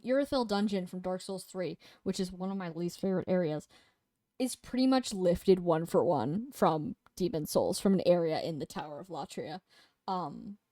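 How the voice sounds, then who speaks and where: Young woman co-host, casual rambler with a loose, profane delivery, slightly sniffly and hoarse, dry close sound. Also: lowish voice, a little mellow, no echo. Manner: rambling and profane, with many fillers. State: sniffly, losing her voice. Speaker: co-host reviewing games. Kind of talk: casual chat.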